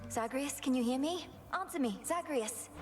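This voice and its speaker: A woman playing an earnest, determined young underworld princess and witch in a game, speaking formally and with measured care. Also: high voice, lively intonation, faint music behind. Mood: urgent. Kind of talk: scripted game acting.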